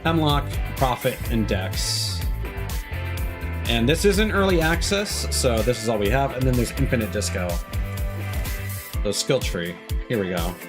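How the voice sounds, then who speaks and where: A man streaming a video game talks in a casual, explanatory way. His voice sits at a medium pitch and he thinks aloud haltingly, with music clearly playing behind him. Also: expressive. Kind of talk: casual live gameplay commentary.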